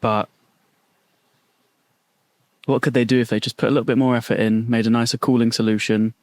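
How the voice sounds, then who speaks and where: A man's voice: medium pitch, flat delivery, darkish tone, no echo. Eager young man in slangy banter. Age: young adult. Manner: enthusiastic, slangy, quick to laugh. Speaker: podcast co-host. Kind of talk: casual chat.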